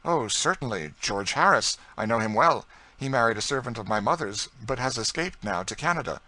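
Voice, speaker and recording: A man voicing a good-hearted, impulsive young gentleman from Kentucky in a storybook, frank, open and assured in manner. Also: mid-range voice, lively intonation, a little fast, no room sound, voice pushed up, rough recording. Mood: confident.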